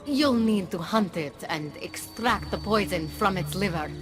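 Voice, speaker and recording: A woman's voice: medium pitch, animated, measured pace, lightly acted. An actress playing a sly, condescending woman who plots as a rebel ally in a video game, speaking with dry control. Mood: dry, scheming. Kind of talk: video game cutscene acting. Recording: music behind the voice.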